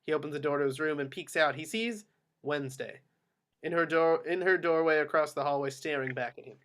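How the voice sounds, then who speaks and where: A man's voice: on the high side, brisk, a bit bright. A man narrating the action of a film script at a table read. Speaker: stage-direction reader.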